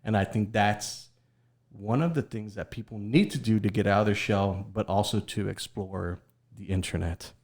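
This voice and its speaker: A man's voice: fairly low pitch, moderate pace, a little mellow. A man from Texas with a Texan accent, hosting a podcast and speaking in an emphatic, confident, opinionated way. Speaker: podcast host. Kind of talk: casual podcast conversation.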